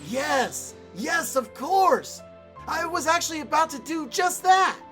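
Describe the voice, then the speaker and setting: A woman voicing an earnest, excitable young male activist in a game fan dub, theatrical and formally polite. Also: high voice, slow, faint music behind, exaggerated voice. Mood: excited.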